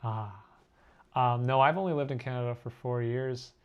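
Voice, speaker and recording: A man's voice: medium pitch, moderate pace, smooth, highs cut off. Easygoing young man chatting on stream. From born in Korea, raised abroad. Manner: self-deprecating, chatty, laughs often. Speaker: artist live-streaming. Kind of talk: casual live-stream chat reading comments.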